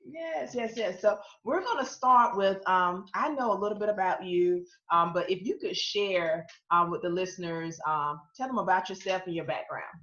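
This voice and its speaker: A woman's voice: mid-range voice, normal speed, few pauses, fairly bright tone. A woman hosting an interview over a video call, chatting and affirming her guest with short interjections. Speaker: podcast host, author. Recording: dull, roomy video call audio.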